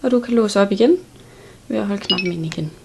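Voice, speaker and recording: A woman's voice: mid-range voice, gravelly, background noise. A woman giving an instructional product walkthrough in a plain, step-by-step way. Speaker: product demonstrator.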